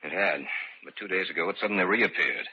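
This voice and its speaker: A man's voice: low-pitched, fast, natural voice. An actor playing a troubled, puzzled man who lives in isolation, in an old-time radio drama. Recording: dull, noisy old radio recording.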